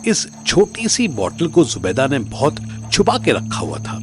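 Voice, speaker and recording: A man's voice: a little high, huge pitch swings, unhurried, over music. A man narrating a scripted story in a dramatic storytelling style.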